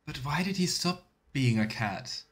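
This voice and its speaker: A man's voice: mid-range voice, expressive, unhurried. A man streaming a video game with casual, musing commentary, thinking aloud as he plays. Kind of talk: casual let's play commentary.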